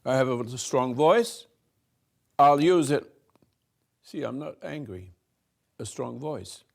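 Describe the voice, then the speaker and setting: Slow, gravelly older man musing. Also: mid-range voice, animated. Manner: halting, repeats and restarts words, swings from soft to loud. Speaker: spiritual teacher hosting a talk. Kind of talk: slow rambling spiritual talk to a room.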